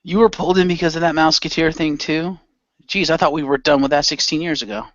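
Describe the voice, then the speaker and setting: A man's voice: a little high, quick pace, fairly bright tone, no room sound, highs cut off, close to an everyday voice. A man playing a friendly, skeptical middle-aged man in an amateur radio play, casual and questioning. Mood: friendly, bemused.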